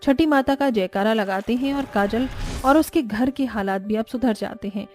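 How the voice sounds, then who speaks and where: A woman's voice: a little high, moderate pace, a little mellow. A woman reading scripted narration of a moral story as a storyteller. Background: music.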